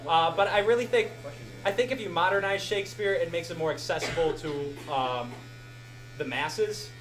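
A man's voice: on the high side, normal speed. A young man in his twenties hosting a live show, rambling and laughing often as he answers questions and thanks the crowd. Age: 20s. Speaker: podcast host. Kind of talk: live show Q&A and farewell speech. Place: live show venue with audience. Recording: echoey room, loud background noise.

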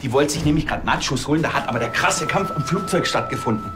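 An actor playing an eager, naive police officer, chatty and earnest. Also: male voice, mid-range voice, expressive, fast talker, loud music behind the voice, lightly acted. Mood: earnest reporting. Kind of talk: naturalistic TV crime comedy acting.

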